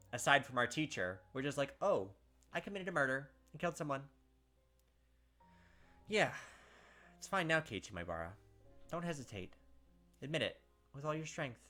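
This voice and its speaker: A man hosts a casual game playthrough, switching between reading the story and chatty asides full of filler words. His voice is bright and forward. Soft music plays underneath. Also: medium pitch. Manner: chatty, filler-heavy with frequent "like". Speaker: let's play host. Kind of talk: casual game commentary and read-aloud.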